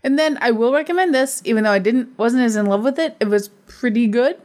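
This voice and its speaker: A woman's voice: a little high, a little fast, darkish tone. A woman co-hosting a casual film review podcast, teasing her co-host and laughing often.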